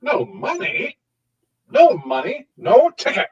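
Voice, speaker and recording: A man voicing a gruff, unbending train ticket seller with a very raspy voice in a cartoon script reading, muffled and distant. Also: very high voice, wildly swooping pitch, takes it slowly, heavily acted. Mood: dismissive. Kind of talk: cartoon table read.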